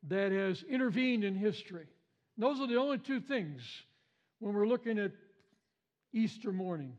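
A man preaching an Easter sermon as pastor, with a big voice, emphatic and repeating his phrases. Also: sits high. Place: church sanctuary.

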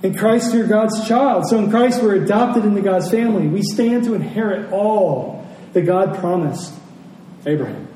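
High-pitched male preacher in a roomy space. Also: moderate pace, dark tone. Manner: earnest, repeats key phrases for emphasis. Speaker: pastor preaching. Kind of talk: sermon. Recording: roomy, distant pickup with faint noise.

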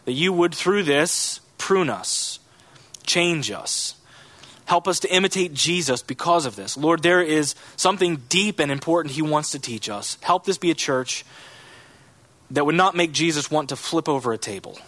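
A man preaching as pastor, reading scripture and praying in an earnest, measured way, with a cough. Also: a little high, a bit bright, no echo. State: has a cough. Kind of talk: sermon with scripture reading and prayer.

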